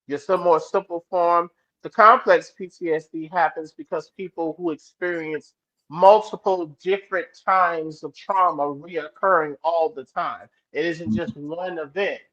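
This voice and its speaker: A man with a fairly high voice, talking with blunt, profane emphasis. He often stops and restarts his sentences. The sound is a little dull and lo-fi. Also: fairly even intonation, a little slow, pushed and bright. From New Orleans, US. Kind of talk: casual discussion.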